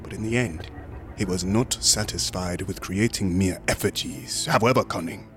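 An actor playing a smug, unctuous museum assistant in a horror radio drama, courteous and insinuating, sometimes dropping into whispers. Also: male voice, low voice, normal speed, faint music behind, natural voice. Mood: sinister, amused. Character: sinister, smug, unctuous museum assistant guarding macabre exhibits.

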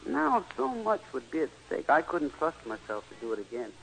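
Meek, apologetic little man, highish husky voice, hesitant delivery, vintage radio comedy. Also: huge pitch swings, normal speed, fairly bright tone, heavily acted. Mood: regretful. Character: meek, earnest greeting-card poet, henpecked amateur horse picker. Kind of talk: 1940s radio comedy acting. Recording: muffled old radio broadcast.

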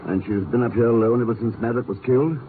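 An actor playing a cool, sardonic secret agent in a 1950s radio drama, dry and unflappable. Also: male voice, medium pitch, steady tone, fast talker, close to an everyday voice. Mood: cool, probing. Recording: tinny, noisy old radio broadcast.

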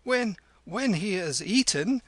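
Servile storybook hillman, acted by a man: stammering, fawning, pitched up, bright. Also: animated, takes it slowly, no echo, heavily acted. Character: gruff hillman escort turned fawning, stammering servant. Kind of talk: storybook character voice.

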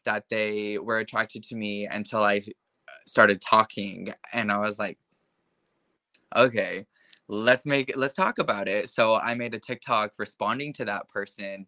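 Young man talking with bright, passionate energy. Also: lowish voice, no room sound. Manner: impassioned, heavy use of filler words. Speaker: interview guest. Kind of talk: casual conversation.

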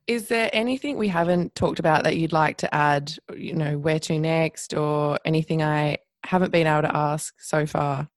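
A woman with a slightly low voice hosts a podcast. She asks her guest questions and signs off in an upbeat, friendly way. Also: steady tone, normal speed, few pauses. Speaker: podcast host. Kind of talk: podcast interview and outro.

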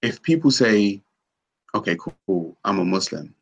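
A man's voice: fairly low pitch, expressive, measured pace, creaky, lo-fi sound. A man hosting a casual conversation, skeptical and questioning, arguing with rhetorical questions.

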